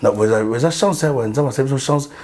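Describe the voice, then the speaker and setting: A man's voice: mid-range voice, fast talker. A man from Congo with a Congolese French accent, talking animatedly as an interview guest, often repeating himself. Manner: animated, repetitive, switches between French and Lingala. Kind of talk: casual interview talk. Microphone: studio.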